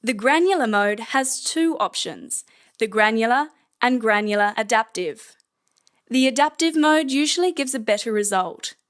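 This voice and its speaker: A woman narrating a scripted software tutorial in a measured, explanatory manner. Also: a little high, lively intonation.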